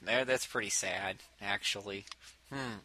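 A man hosting a casual podcast chat, joking and laughing often, with his voice dropping to soft asides. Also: on the low side, unhurried. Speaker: podcast host.

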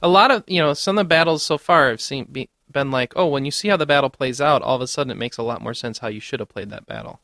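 A man's voice: medium pitch, quick pace, few pauses, sharp tone, no echo, studio quality. A man hosting a podcast, chatting casually with his panel about a video game. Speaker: podcast host.

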